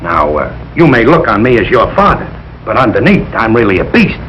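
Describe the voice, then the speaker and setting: Blustery Brooklyn husband, swooping voice. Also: male voice, lowish voice, sing-song, fairly quick, highs cut off. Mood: confiding, determined. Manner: blunt, slangy, blustery. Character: blustering, jealous, good-hearted working-class American husband and father. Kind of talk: 1940s-50s broadcast sitcom acting. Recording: noisy old broadcast recording.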